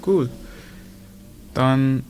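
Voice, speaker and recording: A man's voice: mid-range voice, drawn-out words, darkish tone, a little hiss or hum. Man asking curious questions. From India. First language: Punjabi. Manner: curious questions in careful learner German. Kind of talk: casual chat.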